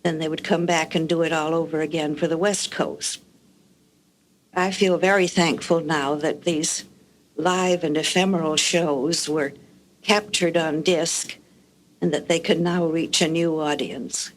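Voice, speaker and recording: An older woman shares recollections as a guest. Her voice is low for a woman, and she speaks in a measured, articulate way with dry humor. There is faint noise behind her. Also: fairly even intonation, poor audio. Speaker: guest recalling radio production history. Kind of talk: reminiscing anecdotes.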